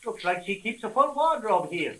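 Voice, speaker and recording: High-voiced, forceful police sergeant. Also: male voice, big pitch swings, full character voice, low-quality recording. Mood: practical. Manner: brisk, commanding, colloquial. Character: gruff, wisecracking male police homicide sergeant. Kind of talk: 1930s radio drama acting.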